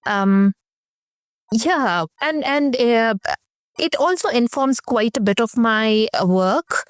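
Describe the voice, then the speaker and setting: A woman's voice: fairly high pitch, lively intonation, slow pace, no room sound, clean studio sound. A woman from India with an Indian accent, a communication professor speaking as a guest in a casual interview, thoughtful and hesitant, with frequent fillers.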